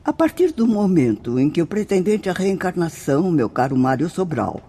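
A woman's voice: on the low side, very animated, normal speed, mellow, husky. A Brazilian woman playing a venerable nun who guides spirits in a radio drama, speaking in a solemn, declamatory, tenderly instructive way. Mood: gentle instruction. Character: venerable, devout nun guiding spirits in the afterlife.

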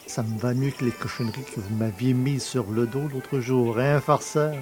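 An actor playing a cheeky, boastful poacher fresh from prison in an audio drama, familiar, mocking and self-pitying. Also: male voice, mid-range voice, steady tone, moderate pace, music in the background, natural voice. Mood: pleased, boastful. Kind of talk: French audio drama acting.

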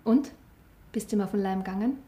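An actress playing a curious woman gently prompting someone's memories in a naturalistic radio drama. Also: medium pitch, brisk, soft background music, close to an everyday voice.

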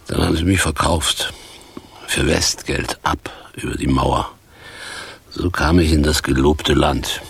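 Gravelly, very deep-voiced bitter vagrant. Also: male voice, fairly even intonation, a little slow, fairly bright tone, dry recording, heavily acted. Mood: bitter reminiscing. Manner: theatrical, sardonic, crude. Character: bitter, boastful down-and-out former East German actor. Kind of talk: German radio play acting.

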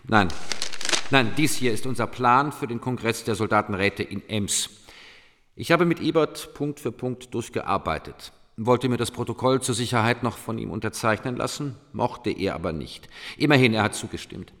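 An actor playing a businesslike, self-satisfied army colonel in a radio drama, clipped and officious. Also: male voice, mid-range voice, expressive, moderate pace, a bit bright. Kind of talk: German radio drama acting. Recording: long reverberant hall.

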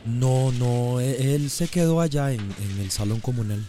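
Actor as halting Central American workman, noisy background. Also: mid-range voice, lightly acted. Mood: evasive, hesitant. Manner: hesitant, halting, repeats himself. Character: evasive, hesitant workman hauling building materials. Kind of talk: radio drama acting.

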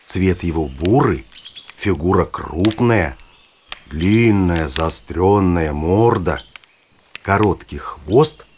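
A man playing a knowledgeable nature guide, narrating a children's audio play in a measured, expressive, explanatory way. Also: low-pitched, slight background noise. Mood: inviting storytelling. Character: knowledgeable guide showing children forest animals. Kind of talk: scripted storytelling narration for children.

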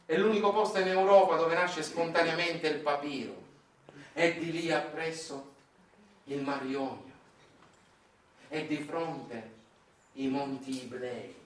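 A man's voice: fairly high pitch, steady tone, slow pace, pauses often, a little hiss or hum. A man with a Sicilian accent performing a dramatic, ironic storytelling monologue, slipping into dialect. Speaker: stage storyteller, monologue actor. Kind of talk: theatrical narrative monologue, satirical storytelling. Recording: roomy, distant microphone.

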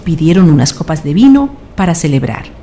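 Female narrator for radio drama, deep dark tone, scripted storytelling, faint noise behind. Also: sing-song, fairly quick.

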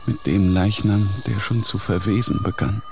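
A man playing the tormented inner voice of an obsessed painter, musing intensely in a dramatic monologue that often turns hushed. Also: fairly low pitch, steady tone, normal speed, creaky, soft background music. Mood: obsessed, questioning.

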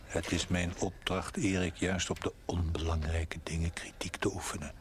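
A low, breathy male voice , acted by a man, of a stern guardian angel in a TV drama. He admonishes in a measured, superior way over faint soundtrack noise. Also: flat delivery, lightly acted. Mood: admonishing. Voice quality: hushed, breathy. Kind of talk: television drama acting.

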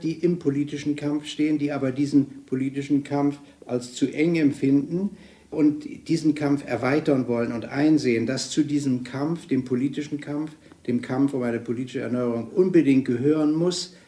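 Male author in echoey archive interview, mellow tone, searching and deliberate phrasing. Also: on the high side. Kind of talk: explanatory interview speech. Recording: roomy archive interview recording.

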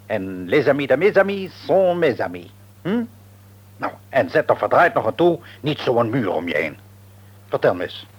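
A man's voice: medium pitch, big pitch swings, normal speed, husky, dull audio. An actor playing a shrewd, patronising middle-aged father and businessman in a Dutch radio play, wordy and probing, with a Netherlands accent. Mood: patronising, insistent. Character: shrewd, patronising businessman father, outwardly jovial, secretly domineering. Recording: radio drama recording.

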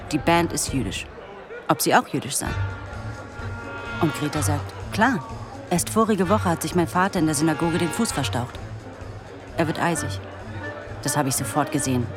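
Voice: medium pitch, natural voice. A performer playing a sardonic Berlin cabaret entertainer in a radio play, telling a story in short, punchy sentences.

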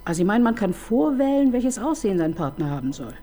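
A woman's voice: medium pitch, very animated, warm tone, natural voice. A woman playing a wry middle-aged detective. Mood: teasing, probing. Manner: ironic, needling, quick to tease. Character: wry, probing married woman police detective. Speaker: detective chief inspector. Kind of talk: radio crime drama acting.

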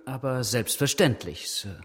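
An actor playing a proud, eccentric English gentleman inventor in a German radio drama, polite but cutting and excitable. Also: mid-range voice, measured pace, slightly rough. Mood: defiant, cutting.

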